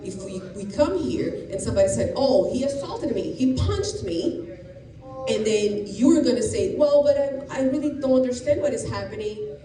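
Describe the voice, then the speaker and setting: A woman's voice: a little high, animated, normal speed, dark tone. A middle-aged woman from Brazil, a police officer, explaining things plainly to students with many restarts. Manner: explanatory, frequent restarts and fillers. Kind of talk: informal talk to students. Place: school classroom or gym. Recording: echoey room, distant and noisy.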